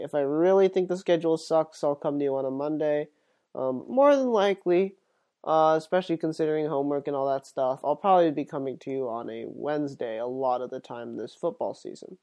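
A man's voice: fairly high pitch, animated, a little fast, a little mellow. A man hosting his own sports podcast while sick and sniffly, talking casually and rambling with plenty of fillers and restarts. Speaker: podcast host.

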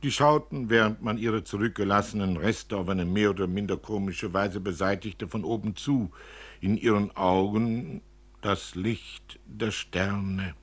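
A man giving an expressive reading of literary prose, as an author reading from his own novel. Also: on the low side, unhurried.

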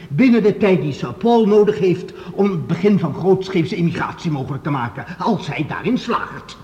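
An actor playing a solemn congress speaker, formal and emphatic, in a radio play. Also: male voice, high-pitched, a little mellow, dull audio. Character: solemn male speaker addressing a congress assembly. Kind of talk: Dutch radio play oratory. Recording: reverberant large hall.

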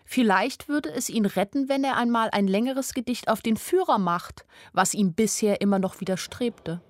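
A woman's voice: a little high, normal speed, no echo, natural voice. An actress playing a naive, observant young village woman who narrates her story in a radio play in a plain-spoken, wry way. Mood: matter-of-fact, naive. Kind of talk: first-person radio play narration in a literary adaptation. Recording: studio radio play with music underneath. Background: music.

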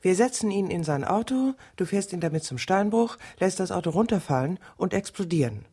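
An actress playing a bitter, lovesick middle-aged woman who has turned killer, speaking in a deliberate, sardonic, brooding way in a radio drama. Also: lowish voice, animated, dark tone, breathy edge, dry recording, studio quality. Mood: coolly practical. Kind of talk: radio drama acting with intimate inner monologue.

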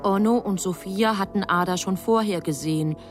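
A woman's voice: medium pitch, moderate pace, lightly acted. A woman performing as the composed narrator of a literary radio drama, in scripted narration. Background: music.

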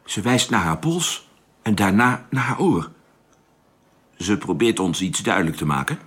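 A level-headed spaceship captain in a radio play. His voice is fairly low and husky, with lively intonation. He is cautious and gives orders with affable authority. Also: a little slow, exaggerated voice. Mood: cautious, firm. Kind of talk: radio drama acting.

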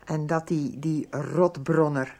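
An actress playing a sharp, accusing, anxious middle-aged mother in a radio soap, with a husky voice. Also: low voice, fairly even intonation, slow pace, heavily acted. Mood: accusing, sarcastic.

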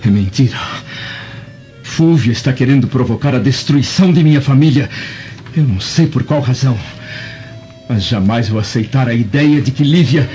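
A man's voice: medium pitch, moderate pace, long pauses, mellow, natural voice. A man playing a proud, hot-tempered Roman senator in a radio drama, with a commanding theatrical delivery. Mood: troubled. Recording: dull lo-fi radio drama, music behind.

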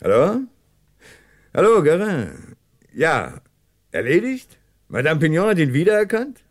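A man acting a dogged, composed French police commissioner in a German radio play, brisk, commanding and dryly ironic. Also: on the high side, sing-song.

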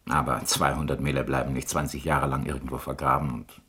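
An actor playing a shrewd, skeptical middle-aged insurance manager in a crime radio drama, calm and measured, with a husky voice. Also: male voice, rumbling low, heavily acted. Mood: skeptical, amused.

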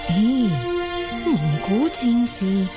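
A woman's voice: a little high, drawn-out words, over loud music. A woman playing a chatty old midwife in a Cantonese radio drama, fussing and soothing with many interjections. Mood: reassuring. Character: chatty reassuring old midwife of a Mongol tribe.

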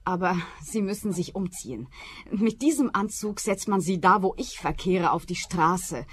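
A woman's voice: on the low side, lively intonation, moderate pace, breathy edge. An actress playing a brazen, paranoid woman client in a radio play, insistent and snappy. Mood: haughty.

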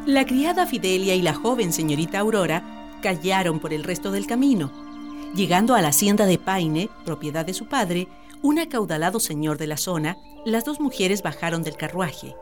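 A woman's voice: lowish voice, expressive, moderate pace. A woman narrating a radio drama in a scripted, storytelling presenter's style. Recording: music behind studio narration.

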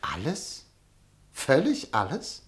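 A scheming newspaper director, radio play, ingratiating, insinuating, lively pitch. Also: male voice, takes it slowly.

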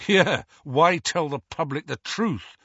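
A man's voice: a little high, slow pace, sharp tone, slightly rough, dry recording, voice pushed up, heavily acted. A man voicing a bitter, sarcastic teenage boy. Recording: dry, dull lo-fi audio.

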